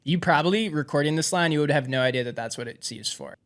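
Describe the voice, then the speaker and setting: A man's voice: fairly high pitch, quick pace. A young man chatting casually as an interviewer, speaking off the cuff. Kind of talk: casual chat.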